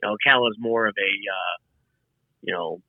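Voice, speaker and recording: A man as a podcast guest, chatting casually in a relaxed, rambling way with many fillers. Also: on the low side, moderate pace, dry recording, narrow, tinny audio. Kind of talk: casual chat.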